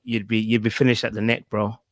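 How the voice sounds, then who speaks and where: A man in his thirties with a South London accent, talking in a relaxed, slangy way as a podcast guest on a video call. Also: lowish voice, fairly quick, dry recording, clean studio sound. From England. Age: 30s. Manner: relaxed, slang-heavy, says bro a lot. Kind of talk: casual interview over video call. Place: home room with shelves behind. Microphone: desk podcast mic on arm, earphones.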